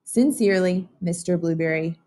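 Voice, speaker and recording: A woman voicing a polite, patient male schoolteacher in a storybook, reading correcting letters in a measured, formal way. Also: on the low side, warm tone, close to an everyday voice. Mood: patient, firm.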